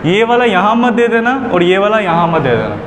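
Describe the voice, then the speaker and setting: A man's voice: high-pitched, sing-song, normal speed, a bit bright. Young man teaching in an echoey classroom. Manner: explains patiently, mixing Hindi with English phrases. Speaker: English teacher. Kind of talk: classroom lesson. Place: classroom with whiteboard. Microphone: far camera. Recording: echoey classroom, room noise.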